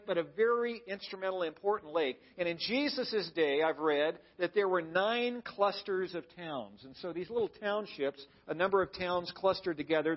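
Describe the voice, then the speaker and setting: A man's voice: sits high, dull audio. An American man giving an explanatory sermon as a pastor, conversational and plain-spoken. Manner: conversational, plain-spoken, asks the listeners questions. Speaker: pastor preaching to a congregation.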